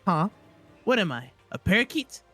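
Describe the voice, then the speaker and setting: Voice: medium pitch, very animated, moderate pace, a bit bright, dry recording, faint music behind. An amateur fan-dub performer playing a flustered, sleazy-minded young man who stammers awkwardly. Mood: skeptical, indignant.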